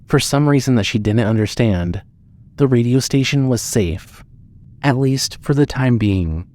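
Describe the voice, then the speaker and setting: A man reads scripted horror fiction as the narrator. His pitch sits in the middle range. His delivery is measured and dramatic, in the manner of a storyteller. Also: animated, a little mellow.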